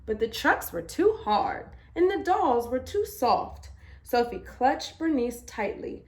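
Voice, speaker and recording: Female picture-book storyteller, higher-pitched, animated intonation, deliberate emphasis. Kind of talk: children's picture book read-aloud.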